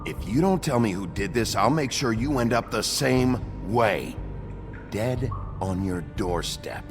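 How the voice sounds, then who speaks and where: An actor playing a gruff, brooding detective sheriff in a video game, speaking in terse, blunt, threatening lines with a gravelly voice. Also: male voice, medium pitch, expressive, measured pace, music in the background. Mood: menacing, pressing. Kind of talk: dramatic video game acting.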